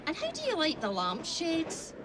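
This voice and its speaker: A woman's voice: very high voice, wildly swooping pitch, normal speed, background noise, exaggerated voice. An actress playing an eager, chatty young Victorian woman in a game, speaking in a chirpy voice. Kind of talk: video game cutscene acting.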